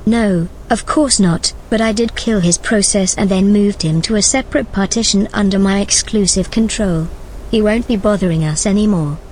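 A woman's voice: medium pitch, moderate pace, a little mellow, slight background noise, lightly acted, poor audio. A woman playing a sardonic rogue female artificial intelligence in an audio drama, casual, wry and unbothered. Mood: breezy. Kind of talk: scripted audio drama acting.

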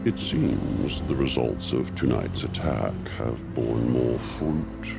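A man playing a monstrous, gloating villain leader in an audio horror comedy, with a gravelly growl and a pompous, theatrical delivery. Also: very deep voice, takes it slowly, heavily acted. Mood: imperious, gloating. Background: loud music.

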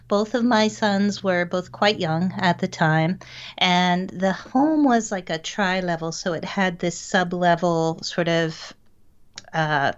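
Low-pitched middle-aged woman, lo-fi audio. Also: clean tone. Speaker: interview guest, psychic medium. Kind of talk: conversational interview answers. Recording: dull lo-fi call audio.

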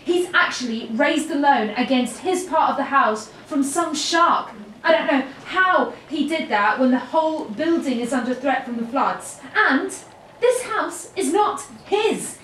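Woman acting a high-voiced, exasperated weary wife. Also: lively intonation, a bit bright. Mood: indignant. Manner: exasperated, halting, repeats words. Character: Mia, weary, bored, indignant wife with strong principles. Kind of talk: audio drama acting. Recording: roomy, distant mic with faint noise.

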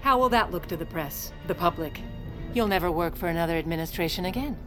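An actress playing a crafty, middle-aged First Lady in an audio drama, brisk and wry. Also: lowish voice, animated, over music, close to an everyday voice. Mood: coolly scheming. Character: determined, crafty First Lady, secretly running the presidency. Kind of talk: modern audio drama acting and first-person narration.

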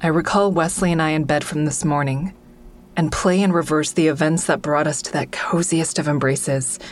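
Low-voiced, guarded, sardonic woman played by an actress. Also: steady tone, normal speed, natural voice. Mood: tense, brooding. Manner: sardonic, exasperated. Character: guarded, agitated woman narrating her police questioning, sardonic. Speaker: narrator and lead character. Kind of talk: first-person audio drama narration and dialogue.

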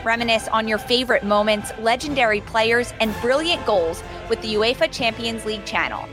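A woman's voice: a little high, normal speed, over music. A woman reading a scripted promo in an upbeat, promotional way. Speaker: ad announcer. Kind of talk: scripted ad read.

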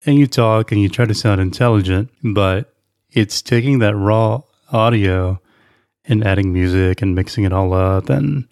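Low-voiced man hosting a podcast. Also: moderate pace, a little mellow, dry recording, clean studio sound. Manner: conversational, loose, with fillers. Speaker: podcast host. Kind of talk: casual podcast interview.

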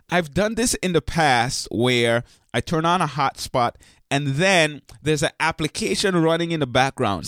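A hoarse man hosting a podcast, talking in an upbeat, chatty way and joking at himself, with his voice deeper than usual from a sore throat. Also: a bit bright, no room sound, studio quality. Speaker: podcast host. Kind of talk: casual podcast talk.

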